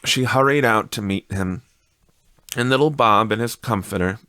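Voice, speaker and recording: A man narrating a literary story as an audiobook reader, with measured, dramatic delivery. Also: lowish voice, sharp tone, clear, resonant tone, no room sound.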